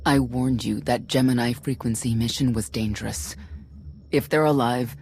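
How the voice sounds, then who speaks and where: A woman's voice: a bass voice, steady tone, normal speed, darkish tone, a little hiss or hum. A woman playing a stern, pessimistic military adviser in a video game, grim and blunt.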